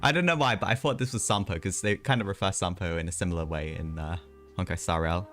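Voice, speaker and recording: A man's voice: deep voice, quick pace, a bit bright, faint music behind. A man live streaming a video game, chatting casually in a rambling way with hesitant asides.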